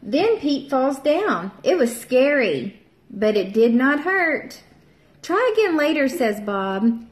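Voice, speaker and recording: Slow, lively woman teacher reading aloud. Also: high-pitched, a little hiss or hum. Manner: slow, expressive read-aloud, bright voices for the characters. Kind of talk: reading a picture book aloud to young children. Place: home room, seated at a table with a child. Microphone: camera at table distance. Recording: echoey room.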